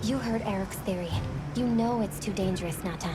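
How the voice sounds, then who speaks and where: Composed woman hunter over loud music, acted. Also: medium pitch, natural voice. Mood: serious, firm. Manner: measured, self-assured. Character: composed, decisive seasoned woman monster hunter. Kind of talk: video game cutscene acting.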